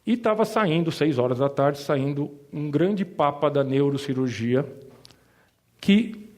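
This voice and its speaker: A man's voice: fairly high pitch, animated, slow, a little mellow. Storytelling man with a Mooca accent. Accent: São Paulo Italian-influenced (Mooca). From Brazil. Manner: storytelling, joking asides to the crowd. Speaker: insurance advisor giving a talk. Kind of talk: personal story told to an audience.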